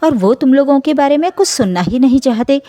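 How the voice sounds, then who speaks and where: An elderly, soft-hearted mother from a family story, voiced by a woman narrator. She implores with emotion, her mellow voice rising and falling in lively swings. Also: high-pitched, a little fast, no room sound. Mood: helpless.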